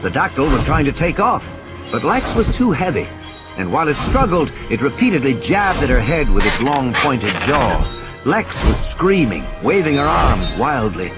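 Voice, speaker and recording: A man's voice: mid-range voice, very animated, moderate pace. A man giving a dramatic scripted narration of a story as an audiobook narrator. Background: music and sound effects.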